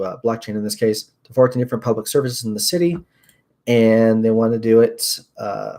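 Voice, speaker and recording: A man's voice: medium pitch, mellow. Chatty young man hosting tech news. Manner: rambling, upbeat, frequent fillers. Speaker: host. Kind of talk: casual tech news livestream. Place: small room at a desk.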